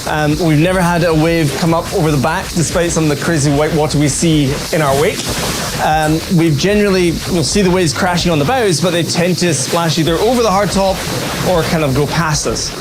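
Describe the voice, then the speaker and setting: A man's voice: on the high side, brisk, noisy background. A man chatting casually and wryly to camera as a sailing vlogger aboard a boat at sea. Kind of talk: casual vlog narration to camera.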